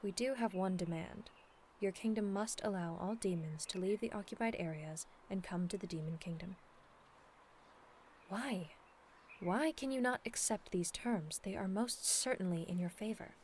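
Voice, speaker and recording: Woman voicing a demon princess, dignified, earnest, measured formal speech, medium pitch, audio roleplay, faint ambient noise behind. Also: darkish tone, close to an everyday voice. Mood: insistent. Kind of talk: scripted ASMR roleplay acting, addressed to the listener.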